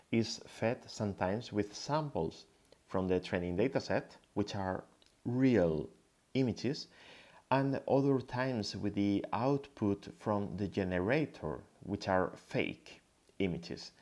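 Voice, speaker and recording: A man from Spain with a Spanish accent giving an explanatory slide lecture, pausing hesitantly as he goes. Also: on the low side, darkish tone. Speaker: guest lecturer, tech founder.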